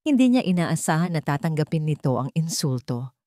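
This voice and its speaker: A woman tells a scripted romance drama as its narrator. Her voice sits a little low for a woman. The sound is very dry, with no room around it. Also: sing-song, brisk, dark tone, no room sound. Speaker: storyteller.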